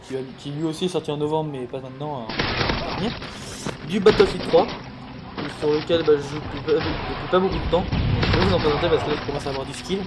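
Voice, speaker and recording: A man's voice: fairly high pitch, very noisy. A sixteen-year-old male, giving casual, stumbling and apologetic commentary on a video game. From Paris, France. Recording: loud music and game gunfire behind. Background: loud music, video game gunfire.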